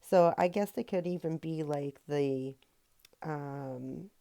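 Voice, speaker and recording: A low-voiced woman with a gravelly, mellow tone, a little raspy from a recent cold. She talks through a painting tutorial in a relaxed, chatty voice-over, full of ums and quick self-corrections. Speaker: rock painting tutorial host.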